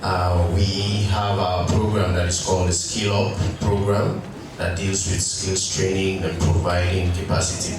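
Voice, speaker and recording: A man speaking for an organization at a conference, delivering a deliberate, halting formal speech with frequent fillers. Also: deep voice. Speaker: NGO representative giving a speech. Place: conference hall. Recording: echoey hall from far off, noisy.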